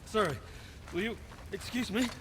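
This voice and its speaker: High-pitched, nervous dwarf craftsman. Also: moderate pace, fairly bright tone, a little hiss or hum. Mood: anxious, flustered. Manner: hesitant, apologetic, flustered. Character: nervous, squeamish, polite male dwarf craftsman. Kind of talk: dramatic video game acting.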